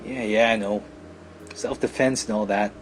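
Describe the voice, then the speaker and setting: A man's voice: lowish voice, fairly even intonation, normal speed, slight background noise, lightly acted, poor audio. Man acting an exasperated teenage older brother. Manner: grumbling, protesting. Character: exasperated, sarcastic high school older brother.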